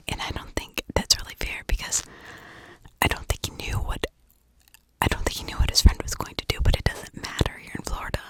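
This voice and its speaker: An American woman whispering an ASMR true crime story in a hushed, informal, conversational way. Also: rough recording.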